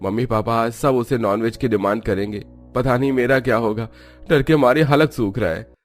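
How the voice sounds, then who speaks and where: A man's voice: medium pitch, normal speed, close to an everyday voice. A man acts out an anxious young husband in a cartoon drama. He sounds sheepish and pleading, easily flustered, with music behind him. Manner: stammers when flustered, pleading.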